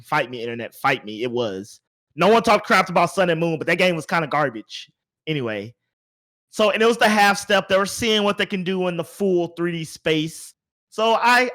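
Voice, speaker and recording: A man with a slightly high-pitched voice hosts a casual podcast discussion. He speaks in an animated, wandering style, often repeating a phrase to drive it home. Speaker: podcast host.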